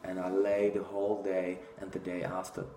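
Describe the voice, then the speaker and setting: A man's voice: sits low, completely flat delivery, moderate pace, warm tone, reverberant, slight background noise, low-quality recording. A man in his thirties with a Czech accent, talking bluntly and casually to a phone camera in a small room, with many fillers. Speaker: fitness vlogger. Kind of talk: casual talking-head monologue.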